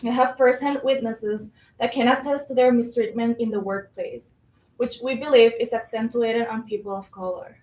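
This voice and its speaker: A woman playing an earnest, determined labor official, delivering a formal, measured monologue in a staged reading. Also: fairly high pitch, steady tone, a little mellow, a little hiss or hum, lightly acted. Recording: echoey room.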